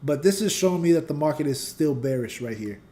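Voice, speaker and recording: A man with a slightly high yet mellow, warm voice talks through market charts as a live host. He keeps it loose and conversational, addressing his chat directly. His voice has a slight scratchiness to it. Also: animated, fairly quick, slight background noise. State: voice getting scratchy. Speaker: trading channel host and teacher. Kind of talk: casual live chart analysis.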